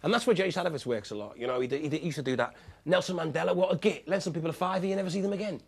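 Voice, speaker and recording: Brief: high-voiced young Scouse man, jokey and animated studio guest. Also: racing, vocal fry, slightly rough. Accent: Liverpool. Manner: animated, jokey, laughs often, jumps in over questioners. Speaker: studio guest answering audience questions. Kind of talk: lively studio discussion. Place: television studio with seated audience.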